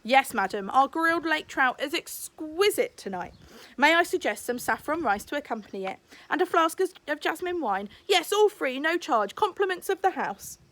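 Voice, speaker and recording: A woman's voice: high voice, animated, moderate pace, a bit bright, voice pushed up. A woman voicing an effusive older uncle, a wheeler-dealer restaurant owner with grandiose salesman patter, in a storybook reading. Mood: smooth, obliging.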